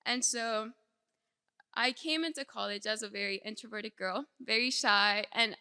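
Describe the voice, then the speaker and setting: A woman's voice: fairly high pitch, measured pace, bright, forceful tone, clean tone. A young woman from El Salvador who grew up in Houston, giving a conversational, informal personal speech as a student. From born in El Salvador, raised in Houston from age seven. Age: young adult. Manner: conversational, frequent "like". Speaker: nursing student speaker. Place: large indoor hall, speaking to an audience. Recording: echoey hall with long reverb.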